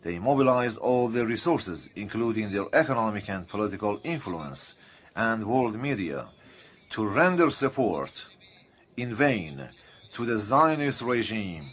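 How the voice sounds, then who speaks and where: A man interpreting a political speech live, speaking in halting, broken phrases with uneven bursts. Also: fairly low pitch, fairly bright tone, slightly rough.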